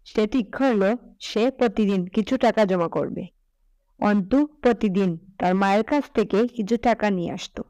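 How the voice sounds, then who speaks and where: A woman's voice: medium pitch, a little slow, warm tone, no echo, poor audio. A woman narrating a scripted children's story.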